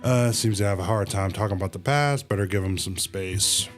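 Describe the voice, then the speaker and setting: A man's voice: low voice, brisk, soft background music. A man hosting a casual game livestream, rambling along and addressing his viewers as y'all. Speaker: livestream host. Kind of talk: casual game stream commentary.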